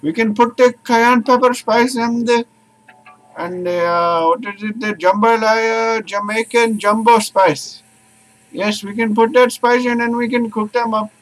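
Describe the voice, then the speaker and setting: A man's voice: very high-pitched, fairly even intonation, unhurried, a bit bright, poor audio. A man chatting casually while cooking, rambling and groping for words. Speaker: streamer.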